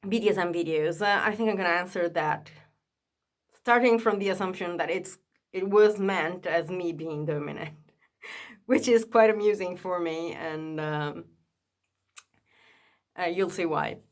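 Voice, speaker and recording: A woman, chatting casually to a camera held up high, with many fillers and restarts. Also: a little slow, long pauses. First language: Italian. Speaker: vlogger.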